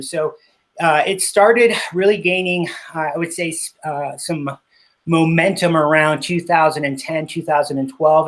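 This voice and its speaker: A middle-aged man in a casual interview, talking about his work with frequent pauses, fillers and restarts; he grew up speaking Spanish. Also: fairly high pitch. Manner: hesitant, many fillers and restarts. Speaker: interview guest, cycling business owner.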